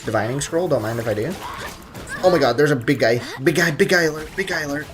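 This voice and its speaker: A man's voice: fairly high pitch, animated, fast talker, music in the background. A man live streaming a video game, chatting casually with viewers in a slangy, joking, rambling way.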